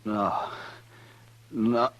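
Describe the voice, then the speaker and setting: An actor playing a dying, wounded outlaw in a 1950s radio western, with a weak, husky, halting voice. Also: male voice, fairly low pitch, fairly even intonation, a bit bright, muffled recording. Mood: weak, distressed. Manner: halting, faltering. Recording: vintage 1950s radio recording.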